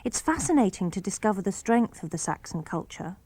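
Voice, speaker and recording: A woman reads letters in a mid-pitched, composed voice. Her delivery is even and clear, without flourish. The recording is close and dry, with no room sound at all. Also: brisk, no echo. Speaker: reader of letters. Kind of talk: reading letters aloud for radio.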